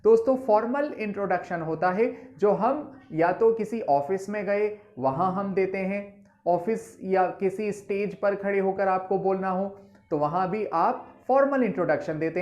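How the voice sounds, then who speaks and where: A woman's voice: mid-range voice, darkish tone. A woman with an Indian accent, an English teacher explaining a lesson in mixed Hindi and English, patient and explanatory, often calling her listeners friends. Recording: roomy, slightly dull recording.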